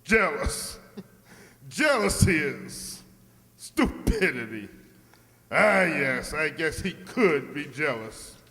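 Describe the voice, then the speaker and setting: In a ringing hall, a man acts an imperious, temperamental portrait painter for a radio comedy. His fairly high voice sweeps widely in pitch as he delivers each pompous, sardonic phrase at a slow, deliberate pace. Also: very animated, bright, forceful tone, exaggerated voice. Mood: sly, goading. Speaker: actor in a radio play. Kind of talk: comic radio-play acting. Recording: reverberant hall stage recording.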